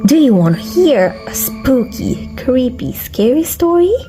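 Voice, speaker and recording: A woman's voice: high-pitched, big pitch swings, unhurried, warm tone, faint music behind, voice pushed up. A woman voicing a playful, teasing young male cat in a children's storybook. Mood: spooky teasing. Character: teasing young male cat, the older-sounding joking brother.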